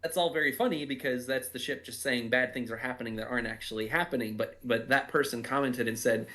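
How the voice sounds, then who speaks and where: A man's voice: medium pitch, quick pace, a bit bright. A man giving casual commentary as a writer, thoughtfully searching for his words. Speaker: audio drama writer giving cast commentary. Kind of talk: casual chat, production commentary.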